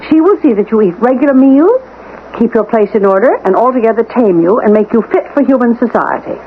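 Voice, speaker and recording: Actress as a brisk housekeeper, tinny old radio. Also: female voice, fairly high pitch, animated, slight background noise, lightly acted. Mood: wistful, flattering. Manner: poised, formal and persistent. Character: brisk, self-assured, managing housekeeper to a gentleman. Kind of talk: 1970s radio drama acting.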